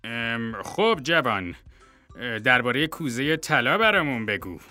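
A man voicing a police chief in a read-aloud folktale, speaking in a measured, questioning way. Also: medium pitch, sing-song, pushed and bright, soft background music. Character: gruff adult male police chief.

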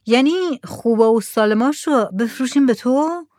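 Woman reading a village guy pushing his wares, coaxing, voice lifted up high. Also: expressive, mellow, no echo, studio quality, close to an everyday voice. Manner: coaxing, persuasive. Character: eager village man selling goods.

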